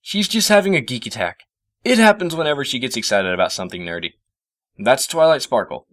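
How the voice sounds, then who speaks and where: Man doing a wry, bright young boy creature sidekick. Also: mid-range voice, very animated, fairly quick, no room sound, voice pushed up. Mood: friendly. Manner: casual, dry teasing. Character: wry, friendly young male assistant creature. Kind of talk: storybook character voice.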